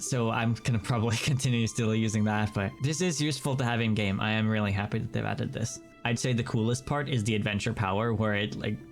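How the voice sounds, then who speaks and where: Chatty man doing casual gameplay commentary. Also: medium pitch. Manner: chatty, self-joking. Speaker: gaming video host. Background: game music.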